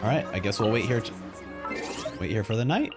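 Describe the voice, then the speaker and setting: A man's voice: on the low side, animated, a little fast, loud music behind the voice. A man streaming a game, giving casual, rambling live reactions and commentary.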